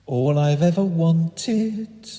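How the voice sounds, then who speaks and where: A man performing comic spoken-word poetry in a theatrical, whimsical and deliberate manner. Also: a little high, dark tone. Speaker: performance poet.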